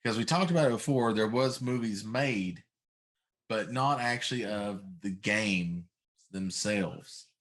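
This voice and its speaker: A man's voice: lowish voice, slow pace. A middle-aged man chatting casually and giving his opinions as a talk show host.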